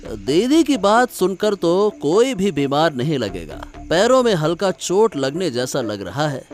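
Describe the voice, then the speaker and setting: A man plays a compliant, hesitant husband in a cartoon tale of plotting. His voice sits fairly high, checking for agreement, with background music underneath. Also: sing-song, a little slow. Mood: conspiring. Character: wary, compliant husband in a scheming couple. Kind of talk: Hindi cartoon story voice acting.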